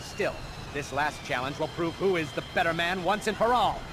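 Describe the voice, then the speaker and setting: A man's voice: fairly high pitch, expressive, moderate pace, background noise, close to an everyday voice. An actor playing a boastful, theatrical showman villain with a grudge in a video game. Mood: grandiose, taunting. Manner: pompous, theatrical.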